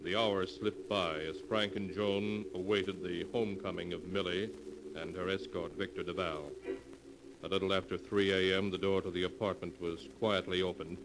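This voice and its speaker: A man narrating an old-time radio mystery in a dramatic, measured announcer delivery. His voice is very deep. A clear hiss runs through the old broadcast recording. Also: flat delivery.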